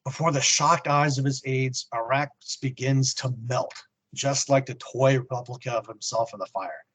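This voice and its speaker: A man's voice: mid-range voice, steady tone, moderate pace, a bit bright, low-quality recording. A man hosting a podcast, speaking in a dramatic scripted style as he narrates and reviews. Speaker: podcast host.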